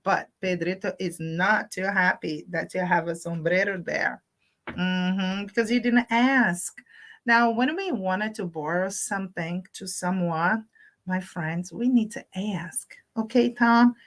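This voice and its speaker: A woman's voice: mid-range voice, slow, fairly bright tone. A woman hosting a children's storytime livestream, talking to young children in simple teacherly phrases mixed with Spanish words. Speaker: host of a children's storytime channel.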